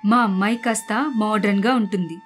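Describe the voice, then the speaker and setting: A woman's voice: medium pitch, steady tone, a little fast, close to an everyday voice. A woman voicing a plaintive middle-aged mother complaining and explaining in a cartoon moral story. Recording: constant background music.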